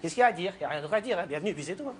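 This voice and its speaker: A man's voice: high-pitched, lively intonation, racing, pitched below the performer's own voice. A man playing a blunt country father. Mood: grudging, muttering. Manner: blunt, repetitive, muttering verbal tics. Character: gruff, suspicious, rural Alsatian father, middle-aged, tactless.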